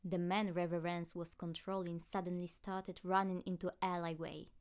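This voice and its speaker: Woman, audiobook narrator, scripted storytelling, lowish pitch. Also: level tone, normal speed, a little mellow.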